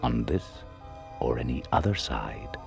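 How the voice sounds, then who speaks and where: An actor playing the ominous, knowing host of a radio anthology of uncanny tales, narrating in a deliberate, portentous way. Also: male voice, rumbling low, lively intonation, creaky, darkish tone, heavily acted. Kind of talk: slow, ominous scripted radio drama narration. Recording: music behind the voice.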